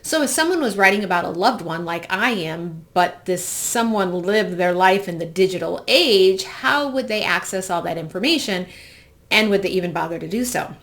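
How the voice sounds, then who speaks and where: A woman's voice: lowish voice, animated, normal speed, a bit bright. An American woman in her forties from the Midwest, hosting with a personal, reflective monologue. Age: 40s. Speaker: host.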